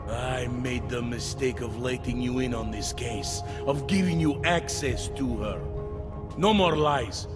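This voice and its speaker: A man as bitter male detective, medium pitch, accusing, blunt, distrustful, video game drama, loud music. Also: a little slow, close to an everyday voice. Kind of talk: video game cutscene acting.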